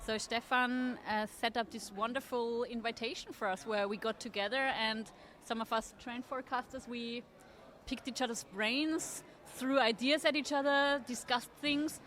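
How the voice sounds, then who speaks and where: A woman from Austria, answering interview questions in a thoughtful, measured way at a table at a design fair. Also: on the high side, steady tone, a bit bright. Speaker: interview guest, trend forecaster. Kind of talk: conversational interview.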